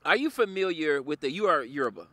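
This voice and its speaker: A man interviewing a guest, relaxed and casual, using loose slang. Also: on the high side, fast talker, no room sound.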